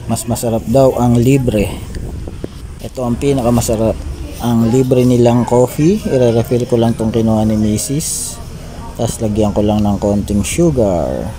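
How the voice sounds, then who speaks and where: A man's voice: mid-range voice, dark tone, a little hiss or hum. A man giving casual, polite travel vlog narration to his viewers. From Philippines. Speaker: travel vlogger. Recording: music behind the voice. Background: background music.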